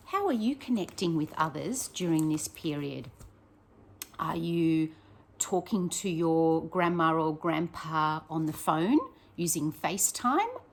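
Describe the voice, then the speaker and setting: Slow-paced woman reading to children. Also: fairly low pitch. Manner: expressive, encouraging, addresses young children directly with questions. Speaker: primary school teacher reading to pupils. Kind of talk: picture book read-aloud with chatty asides to children.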